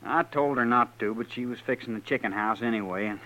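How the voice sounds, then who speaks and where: A man's voice: medium pitch, a little fast, sharp tone, lightly acted. Man playing an earnest young frontier farm boy. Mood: worried. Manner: simple, folksy, earnest. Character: plain, earnest young rural frontier son. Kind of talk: 1950s western radio drama acting. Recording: noisy old radio recording.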